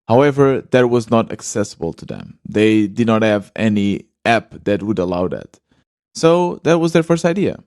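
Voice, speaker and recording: A man hosting a podcast in a plain, mid-pitched voice, earnest and thinking aloud as he goes, with small restarts. The sound is dry and close, with no room around it. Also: a little mellow, dry recording. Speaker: podcast host. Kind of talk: solo podcast monologue.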